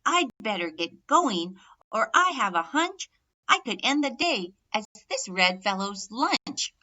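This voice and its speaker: A woman's voice: on the high side, slow, muffled recording, full character voice. A woman voicing an eager, plucky young male turkey in a children's storybook, speaking in earnest, emphatic rhyme. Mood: worried. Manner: earnest, excitable, emphatic rhyming delivery.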